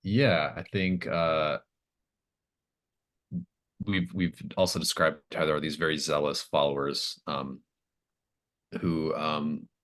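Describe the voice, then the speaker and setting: A man's voice: a bass voice, flat delivery, slow, long pauses, fairly bright tone. A man running a tabletop roleplaying game as game master, improvising narration and thinking aloud in a hesitant way.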